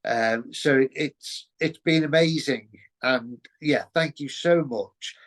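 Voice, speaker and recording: A man's voice: mid-range voice, takes it slowly. A man hosting a casual interview, speaking politely and hesitantly. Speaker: host.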